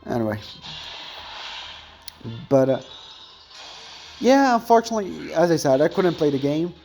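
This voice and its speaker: A man's voice: on the high side, very animated, unhurried, vocal fry, mellow, soft background music. A young man with a thin voice chatting casually and rambling while commentating on his gameplay, often correcting himself mid-sentence.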